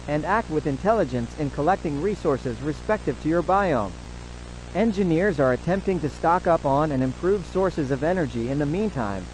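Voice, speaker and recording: Higher-pitched solemn man as an emergency broadcast announcer, hissy. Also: a little mellow, natural voice, poor audio. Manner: formal, measured, solemn. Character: solemn, formal male government emergency announcer. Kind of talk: scripted emergency broadcast narration.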